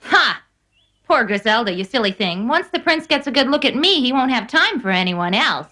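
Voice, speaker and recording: A woman's voice: fairly high pitch, big pitch swings, normal speed, bright, forceful tone. A woman playing a vain, mocking young stepsister in a fairy-tale cartoon, taunting and smug. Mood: smug, spiteful. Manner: taunting, sneering. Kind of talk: animated fairy-tale dub acting.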